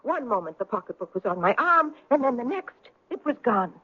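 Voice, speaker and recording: An actress playing a feisty, evasive woman in old-time radio drama style, with a lilting, indignant, drawn-out storytelling manner. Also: fairly high pitch, big pitch swings, slightly rough, no echo. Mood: brisk, indignant. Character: feisty, evasive Irish-American woman reporting a theft. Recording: dry vintage radio recording, muffled.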